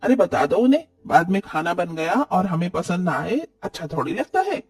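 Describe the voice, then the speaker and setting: A demanding older auntie from a cartoon moral tale, voiced by a man. The voice is fairly high for a man and husky, chattering on and finding fault. It is recorded slightly off the mic. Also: big pitch swings, moderate pace, recorded from a distance, full character voice. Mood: fussy, demanding. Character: demanding older visiting aunt, a fussy, entitled woman.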